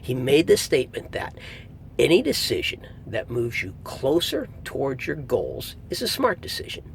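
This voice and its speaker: Animated, gravelly-voiced man reviewing casually. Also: moderate pace. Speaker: audiobook reviewer. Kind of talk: casual spoken review to camera. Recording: faint low rumble, like vehicle noise.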